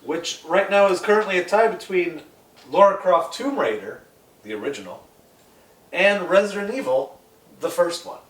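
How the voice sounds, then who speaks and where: Opinionated male co-host, slightly high voice, very varied intonation, off-mic in casual discussion. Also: lively intonation, normal speed. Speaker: podcast co-host. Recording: echoey room, distant mic, faint noise.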